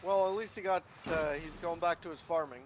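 A man's voice: on the high side, brisk, noisy background. A man talking casually as a partner in a co-op game. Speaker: co-op gaming partner. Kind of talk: casual gameplay chat.

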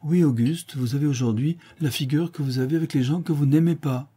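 A man's voice: a little high, fairly quick, dark tone, breathy edge, voice pushed up. A man voicing a worldly young countess in a read-aloud novel, coquettish and lightly mocking. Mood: anxious.

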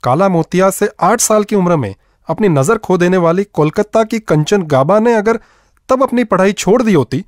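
A man presenting a radio programme with scripted, expressive and measured narration. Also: high-pitched, no echo, studio quality.

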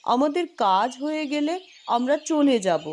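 A woman's voice: sits high, lively intonation, normal speed, a little mellow. A woman voicing a naive, outspoken young princess, the younger sister in a cartoon story. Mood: pleading. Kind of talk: animated cartoon story dialogue. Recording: plucked string music under the voice.

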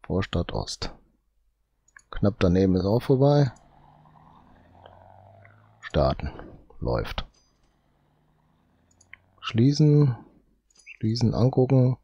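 Guy with a cold muttering thru a game, low voice, real slow. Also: very animated, creaky, mellow, husky, no room sound, dull audio. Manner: muttering, drawn-out, tags lines with "ne?". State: has a cold. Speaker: let's play host. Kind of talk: slow, thinking-aloud gameplay commentary.

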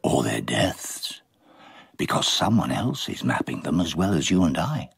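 An actor as the ominous narrator of a radio mystery, deliberate and dramatic. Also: male voice, rumbling low, big pitch swings, gravelly, slightly rough, no echo, studio quality, soft background music, heavily acted. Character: ominous, measured male narrator of a murder mystery.

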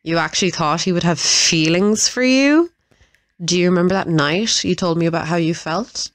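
A woman acts out a gloating, cruel villainess in an audio drama. Her voice sits a little low and is recorded very dry. She taunts smugly, stretching words for emphasis. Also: moderate pace, no room sound, close to an everyday voice. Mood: smug, taunting.

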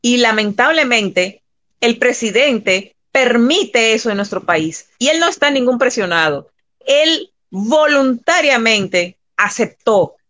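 Dominican woman, fairly high voice, indignant protester, dry sound. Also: lively intonation, takes it slowly, fairly bright tone, dry recording. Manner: indignant, interjecting. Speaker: protester joining the interview. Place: outdoor street protest.

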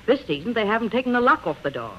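An actress playing an aging, witty, grand stage star in wry, theatrical 1930s radio style. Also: female voice, fairly high pitch, sing-song, very fast. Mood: wry complaining. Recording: dull, noisy 1930s radio broadcast.

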